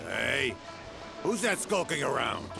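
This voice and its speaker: Gruff gravelly dockhand in a game, lively pitch, music behind. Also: male voice, slow, heavily acted. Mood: suspicious. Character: gruff, watchful male dock worker. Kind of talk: video game voice acting.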